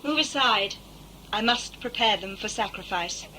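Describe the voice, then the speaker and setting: An actress playing a stern, dutiful middle-aged priestess in a sci-fi audio play, terse and solemn. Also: female voice, mid-range voice, lively intonation, unhurried, bright, forceful tone, slight background noise, rough recording. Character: dutiful, stern motherly priestess, blindly obedient.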